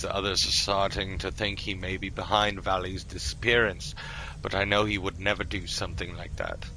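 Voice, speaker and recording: A man with a low, bright voice streams a roleplay game. He reads aloud with little swing in pitch, slightly theatrical and halting, over some background noise. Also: rough recording. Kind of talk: live roleplay gaming stream, reading in-game journals aloud.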